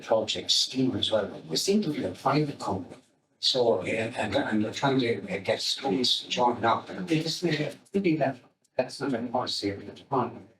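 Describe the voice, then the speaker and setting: An Irish man speaking in a halting, repetitive way during an informal discussion from the audience. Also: mid-range voice. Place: indoor event. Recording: echoey, distant mic, audience noise.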